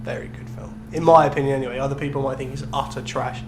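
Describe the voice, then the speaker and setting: Young guy on a podcast, casual and self-deprecating, roomy echo with a clear hum behind him. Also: mid-range voice, steady tone, fast talker. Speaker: podcast co-host. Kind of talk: casual chat. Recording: echoey room with background hum.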